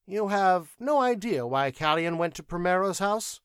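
A man's voice: sits high, animated, normal speed, smooth, dry recording, clean studio sound, voice pushed up. A man voicing a stern, impatient inquisitor in an audiobook, questioning in a curt, commanding way. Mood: probing. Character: stern male inquisitor, impatient, weary of interrogations.

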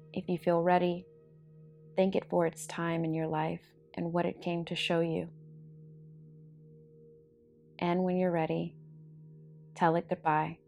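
A woman leads a guided meditation in a calm, soothing voice that sits fairly low and stays quite even. There is noticeable vocal fry in her measured delivery. Soft meditation music plays behind her. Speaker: podcast host and meditation guide.

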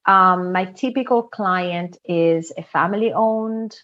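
A woman's voice: fairly low pitch, slow pace, a bit bright. A woman from Italy with an Italian accent, talking conversationally as an interview guest and telling stories. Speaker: guest, international recruitment director. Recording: slightly dull, lo-fi sound.

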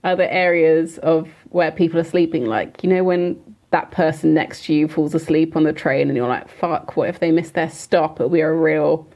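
A young woman co-hosting a chatty podcast. She speaks low with a gravelly fry, laughs a lot and swears offhandedly. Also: steady tone, normal speed, darkish tone. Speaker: podcast co-host. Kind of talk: casual chat.

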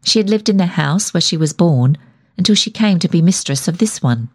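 A woman reading a short story as scripted narration, in a measured storytelling manner. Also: lowish voice, expressive, a little mellow, slightly rough. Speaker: story reader.

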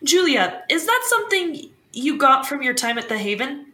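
A woman's voice: high voice, sing-song, moderate pace, pushed and bright, heavily acted. A woman playing a poised livestream talk-show host in a fiction podcast, with a polished presenter's manner. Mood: curious. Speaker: host taking calls.

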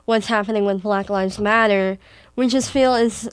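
A teenage girl from Wisconsin with a Wisconsin accent, talking with her mother in a thoughtful, halting way with frequent restarts. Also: medium pitch, steady tone, smooth, dry recording. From Wisconsin, USA. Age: teens. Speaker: daughter in conversation with her mother. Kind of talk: casual conversation.

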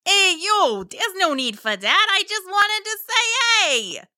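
A woman voicing a huge, friendly, laid-back male mosasaur with breezy, folksy slang and drawn-out words, in a children's story. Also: extremely high pitch, big pitch swings, sharp tone, no room sound, clean studio sound, voice pushed up, exaggerated voice.

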